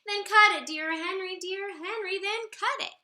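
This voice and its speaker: A high-pitched woman reads a picture book aloud for children in a roomy, echoey space. Her voice is very bright and moves in a lively sing-song, with theatrical, drawn-out delivery.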